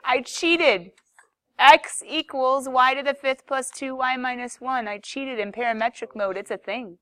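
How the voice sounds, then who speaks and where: A woman with a fairly high voice teaching a math class. She talks in a playful, chatty way and breaks into sudden exclamations. The sound is dry, with no room echo. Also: steady tone, measured pace, fairly bright tone.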